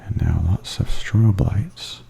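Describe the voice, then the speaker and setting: A man giving softly spoken ASMR tour commentary in a hushed, breathy half-whisper. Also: deep voice, takes it slowly. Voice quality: soft, breathy. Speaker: host of ASMR walking tour videos.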